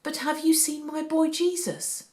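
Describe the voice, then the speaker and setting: A woman's voice: sits high, fairly even intonation, measured pace, darkish tone, pitched above the performer's own voice. A woman reading a storybook, voicing an anxious, emotional mother searching for her lost son. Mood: worried. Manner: pleading, emotional.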